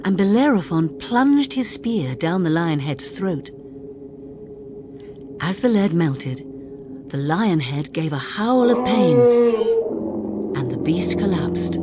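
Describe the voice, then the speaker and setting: A woman narrates a children's storybook with dramatic, deliberate delivery. Her voice sits a little low and moves through a very animated range at a slow pace, made for reading along. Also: background noise. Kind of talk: expressive scripted read-along narration for children.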